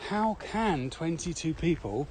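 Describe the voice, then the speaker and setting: A man's voice: fairly high pitch, unhurried, poor audio. A man talking casually as co-host of a podcast. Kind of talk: casual conversational podcast chat. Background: steady ambient noise.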